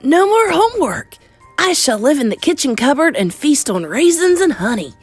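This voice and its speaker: A woman's voice: sits high, big pitch swings, normal speed, dry recording, faint music behind, pitched above the performer's own voice. A woman voicing a cheerful, carefree little boy who has been turned into a mouse, in a storybook. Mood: gleeful. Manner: gleeful, carefree.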